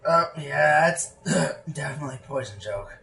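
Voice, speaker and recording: A man's voice: fairly high pitch, lively intonation, moderate pace, creaky, sharp tone, heavily acted. A man in a radio play voicing an awkward, hesitant, lovestruck young pony sound technician.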